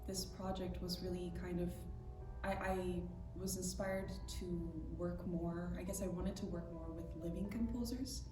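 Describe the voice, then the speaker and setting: A woman's voice: lowish voice, steady tone, measured pace. A woman pianist from the United States, talking about her project in a hesitant way with many filler words. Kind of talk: interview talk. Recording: roomy echo from far off with music.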